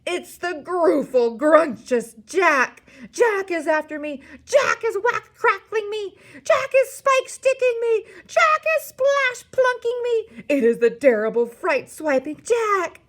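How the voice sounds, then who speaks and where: A woman's voice: very high voice, lively intonation, slow pace, few pauses, a bit bright, voice pushed up, heavily acted. A woman voicing a huge man-eating giant, bellowing and pleading in terror with mangled grammar in a storybook. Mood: terrified bellowing.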